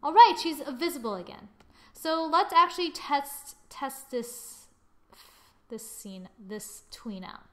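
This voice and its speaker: Higher-voiced woman giving a casual tutorial. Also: big pitch swings, takes it slowly, a bit bright. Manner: informal, thinking aloud. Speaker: tutorial presenter. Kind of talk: casual software tutorial narration.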